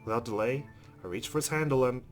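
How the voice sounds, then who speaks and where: A man's voice: medium pitch, fast talker, over music. A man reading a visual novel aloud as dramatic narration for a let's-play.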